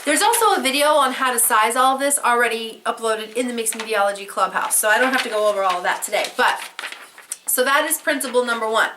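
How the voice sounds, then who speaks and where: A woman's voice: fairly high pitch, fast, background noise. A woman with a head cold and a fading voice, chatting casually and rambling a little as she shows her work. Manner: chatty and rambling, with fillers and restarts; candid, with casual swearing. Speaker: host. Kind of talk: casual show-and-tell craft vlog.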